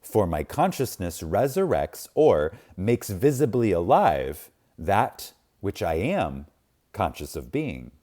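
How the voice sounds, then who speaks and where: A man reading a spiritual book aloud in a solemn, reverent manner, with deliberate pauses and emphasis. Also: sits low, sing-song, warm tone. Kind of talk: reverent scripted reading, audiobook-like.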